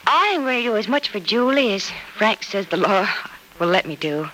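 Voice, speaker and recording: An actress playing a selfless, earnest young American daughter in a sincere, emotive old radio soap opera style. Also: female voice, mid-range voice, expressive, normal speed, sharp tone. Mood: resolute. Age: young adult. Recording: noisy vintage radio drama recording.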